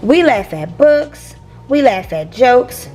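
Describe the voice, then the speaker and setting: A woman reading a picture book aloud to young listeners in a playful, expressive teacher's manner. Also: on the high side, takes it slowly, soft background music. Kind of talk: expressive children's read-aloud.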